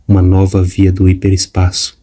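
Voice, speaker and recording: A man reading a storybook in a steady, scripted narration. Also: sits low, moderate pace. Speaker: audiobook narrator. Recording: music playing behind. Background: music.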